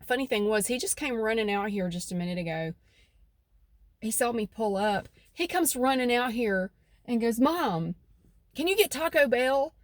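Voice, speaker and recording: A woman's voice: a little high, animated, normal speed, dry recording. A middle-aged woman with a central North Carolina accent, rambling chattily in a folksy vlog, her nose a bit stuffy. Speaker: vlogger. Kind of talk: casual vlog chat. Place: outdoors by her house.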